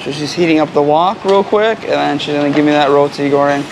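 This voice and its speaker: A man's voice: fairly high pitch, fast talker. A man from the United States vlogging casually on a busy street, explaining local food and words to his viewers. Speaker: travel vlogger. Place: busy city street with traffic. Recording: street noise and traffic behind.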